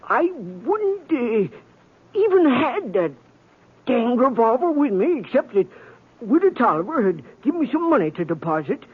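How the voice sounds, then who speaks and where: High, husky old Western townsman. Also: sing-song, moderate pace, exaggerated voice. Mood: sheepish. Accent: American Western. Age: older. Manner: stammering, folksy. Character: flustered, modest aging townsman with a gunfighting past. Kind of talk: old-time radio western acting. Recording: thin, phone-like old radio recording.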